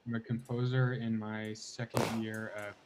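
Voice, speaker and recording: A man who is a composer introduces himself softly on a video call. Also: on the low side, level tone, normal speed, heavy background noise.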